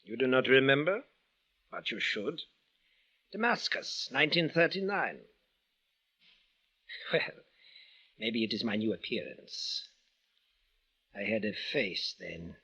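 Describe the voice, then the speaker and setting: A man's voice: medium pitch, very animated, slow pace, long pauses, pushed and bright, slightly rough, exaggerated voice. An actor playing a big, vengeful man from Damascus in an old radio drama, speaking formal, stilted English. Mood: menacingly polite. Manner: formal, stilted foreigner's phrasing without contractions. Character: tall, big, menacing, vengeful bandaged foreign man from Damascus. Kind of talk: 1940s-50s radio drama acting. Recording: dull old radio recording.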